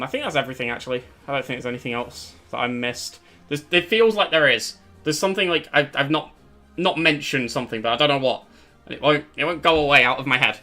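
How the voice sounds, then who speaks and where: A man's voice: on the high side, sharp tone. A young man hosting a live stream in a casual, rambling way, his voice cracking as he loses it. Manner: rambling, self-correcting, chatty. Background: faint background music.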